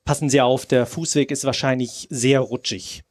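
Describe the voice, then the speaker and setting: A man's voice: medium pitch, fairly even intonation, normal speed, lightly acted. A man playing a polite, dutiful Soviet driver in a live radio play. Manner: courteous, matter-of-fact. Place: outdoor festival stage under a tent.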